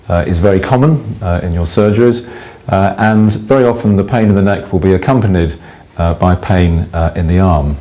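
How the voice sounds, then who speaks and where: A man teaching clinical examination as a doctor, explaining in a halting way with frequent uhs between short phrases. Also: deep voice, warm tone. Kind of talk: instructional medical explanation.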